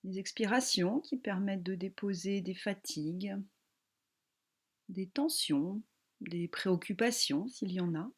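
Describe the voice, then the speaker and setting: A woman yoga teacher guiding a relaxation meditation in a calm, gentle, soothing manner with long pauses. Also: medium pitch, slightly rough.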